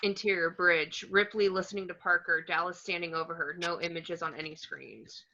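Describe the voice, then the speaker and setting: Female narrator for screenplay action lines; very bright, forceful tone, slightly muffled lo-fi sound. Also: lowish voice, steady tone, moderate pace. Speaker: narrator reading stage directions. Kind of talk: scripted screenplay narration at an amateur table read.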